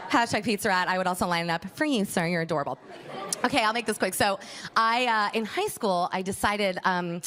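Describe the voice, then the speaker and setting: A woman's voice: medium pitch, brisk, a bit bright, some chatter behind. A woman from Miami hosting and doing stand-up on a comedy club stage, rambling and self-mocking with lots of fillers. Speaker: stand-up comic and show host.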